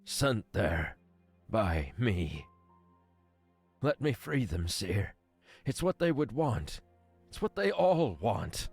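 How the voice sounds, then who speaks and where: Casting: a man as a guilt-ridden furry ex-soldier, very slow and grave, expressive swings, visual novel drama. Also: lowish voice, gravelly, breathy edge, faint music behind, exaggerated voice. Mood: remorseful. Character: remorseful, weary male furry ex-soldier, pleading earnestly.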